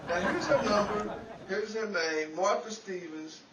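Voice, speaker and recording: Scatterbrained 1940s radio-comedy troublemaker, male actor, high and excitable, distant noisy recording. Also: unhurried, reverberant, over a noisy crowd. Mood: eager persuading. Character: Dennis, well-meaning scatterbrained man, forever in trouble. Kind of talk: 1940s old-time radio comedy acting.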